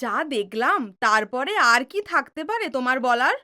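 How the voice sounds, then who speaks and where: A woman playing a stubborn, hot-tempered young daughter in an audio drama, sharp and accusing. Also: sits high, big pitch swings, moderate pace, full character voice. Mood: defiant. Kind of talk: Bengali audio drama acting.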